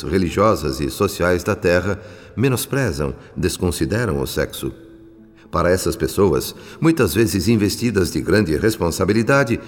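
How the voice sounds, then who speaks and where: Earnest spirit narrator, Brazilian accent, deep voice, didactic radio drama delivery, music underneath. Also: male voice. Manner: measured, solemn, didactic. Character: male spirit narrator recounting life in a spiritual city, earnest. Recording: long studio reverb, music underneath.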